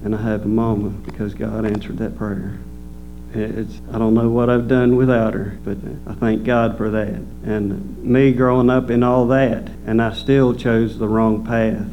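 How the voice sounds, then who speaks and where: A man from Delaware who grew up in rural Kentucky, with Pennsylvania Dutch as his first language, shares his faith testimony haltingly with frequent hesitations and soft chuckles. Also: lowish voice, level tone. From Delaware, raised in rural Kentucky. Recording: dull lo-fi recording with faint hum.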